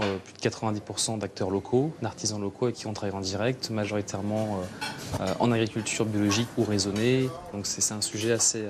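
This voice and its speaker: A man, a chef explaining his work haltingly in an interview. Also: lowish voice, background noise. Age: 30s. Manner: halting, searching for words. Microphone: lapel mic.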